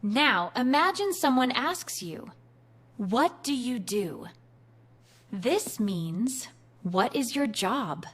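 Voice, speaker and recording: A woman teaching a beginner English class, speaking clearly, patiently and encouragingly in a scripted lesson. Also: a little high, big pitch swings, takes it slowly.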